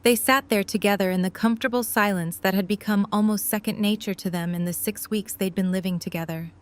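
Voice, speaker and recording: A woman's voice: mid-range voice, fairly even intonation, fairly quick. A woman reading scripted third-person story narration for an audio drama.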